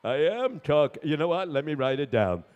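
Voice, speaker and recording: A man acting a patient but insistent doctor in a told story, speaking in a deliberate way. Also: fairly high pitch, animated, a little mellow, clear, resonant tone, in a large hall, pitched below the performer's own voice, lightly acted. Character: stern, patient male doctor addressing deaf patient.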